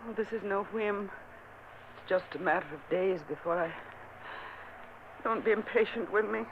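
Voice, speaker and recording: A woman's voice: normal speed, close to an everyday voice. A woman playing a feverish, bitter dying noblewoman in a vintage radio play, intense and accusing. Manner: intense, accusing, rising to shrill cries. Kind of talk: 1940s radio acting. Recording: tinny old radio transcription with hiss.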